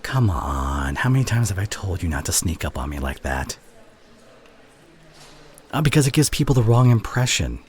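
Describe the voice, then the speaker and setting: A man playing an exasperated, teasing college student in an ASMR roleplay, with a halting, sighing, sarcastic delivery. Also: low-pitched, sing-song. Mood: exasperated, defensive. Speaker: audio roleplay voice actor. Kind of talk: ASMR roleplay acting, one side of a conversation. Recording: clear background ambience noise.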